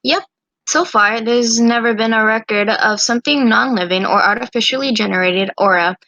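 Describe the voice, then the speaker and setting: A woman's voice: on the high side, normal speed, bright, forceful tone, no room sound. A woman voicing a plucky, mischievous young student huntress with a breezy, slangy, teasing manner in an amateur visual novel. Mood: matter-of-fact.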